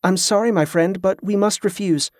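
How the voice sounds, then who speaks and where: A composed woman leading travellers acted out by a man in a fantasy audiobook. The voice is somewhat high, polite and controlled, with diplomatic care. It is recorded very dry. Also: normal speed, darkish tone, no room sound, natural voice. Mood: polite, firm.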